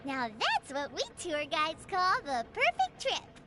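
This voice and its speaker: A woman's voice: high-pitched, wildly swooping pitch, takes it slowly, very noisy, exaggerated voice. A woman voicing a tiny, bubbly female fairy companion who talks in a chirpy, dramatic, teasing way, for a video game. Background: game music.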